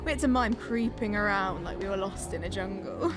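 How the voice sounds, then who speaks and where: A woman's voice: medium pitch, brisk, music in the background, lightly acted. An actress playing a manipulative, theatrical young girlfriend in a radio drama, gushing one moment and self-pitying the next. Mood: animated storytelling. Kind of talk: naturalistic radio drama acting.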